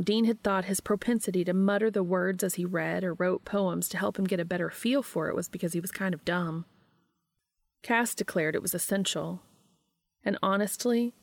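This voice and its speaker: Creaky-voiced woman narrating fiction. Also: mid-range voice, no room sound. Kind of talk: scripted narration, audiobook reading.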